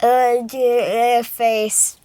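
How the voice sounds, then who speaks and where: Giggly high-voiced woman, slow speech. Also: level tone, warm tone, dry recording. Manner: giggly and nervous. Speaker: guest voice actor.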